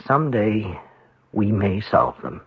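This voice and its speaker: An actor playing a grave, philosophical senior psychiatrist, measured and reflective, in a 1970s radio drama. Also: male voice, on the low side, lively intonation. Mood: reflective, resigned. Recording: muffled, dry old radio recording.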